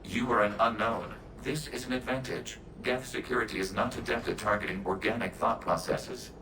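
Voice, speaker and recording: An actor voicing a calm, logical synthetic robot in a video game, speaking in formal, measured, literal phrases. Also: male voice, on the low side, a little hiss or hum, rough recording. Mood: measured, factual.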